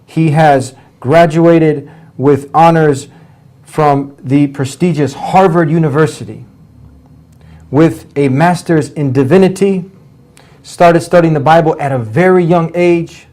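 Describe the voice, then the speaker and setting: Male host, slightly high voice, upbeat announcer read. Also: takes it slowly, darkish tone. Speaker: show host. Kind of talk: show promo.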